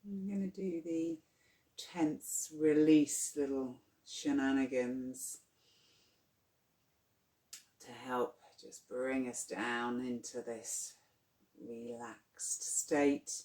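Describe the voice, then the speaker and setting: A yoga nidra guide leading a guided relaxation in a soothing, unhurried, gently instructing manner. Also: medium pitch. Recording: roomy, echoing sound.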